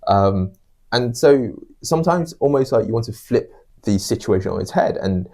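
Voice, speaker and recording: A man's voice: fairly low pitch, animated, moderate pace, mellow. A man co-hosting a podcast in casual discussion, making points with repetition and rhetorical questions. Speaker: podcast co-host.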